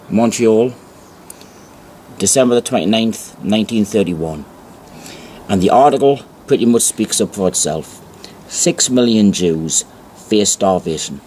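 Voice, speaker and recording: A man giving an informal show-and-tell narration, reading aloud deliberately and repeating phrases for emphasis, on an amateur home recording. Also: lowish voice, a little mellow, slight background noise. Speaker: amateur video presenter.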